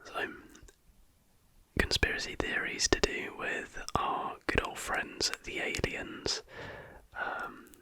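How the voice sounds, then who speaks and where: An ASMR host narrating entirely in a breathy, unvoiced whisper, explaining things softly and without hurry. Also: slow pace.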